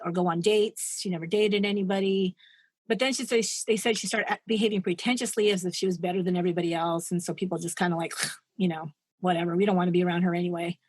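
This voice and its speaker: A woman's voice: medium pitch, no room sound. A woman co-hosting a podcast, retelling a true-crime story in a chatty, digressive way and laughing at her own asides. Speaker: podcast co-host.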